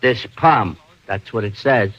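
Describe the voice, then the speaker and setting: A man playing a dim-witted, grumbling police sergeant with a husky voice and blustering, slow-witted manner in an old radio drama. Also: fairly low pitch, fairly bright tone. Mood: gruff, blustering. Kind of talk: 1940s radio comedy-drama acting. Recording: dull, dry old radio recording.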